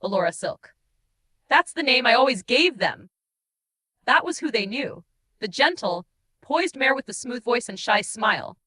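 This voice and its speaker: A woman's voice: a little high, lively intonation, pushed and bright, heavily acted. A woman voicing a guilt-ridden, tender shapeshifting changeling who confesses in mournful, dramatic first-person audiobook narration. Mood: wistful, tender. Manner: emotive, mournful storytelling with dramatic pauses.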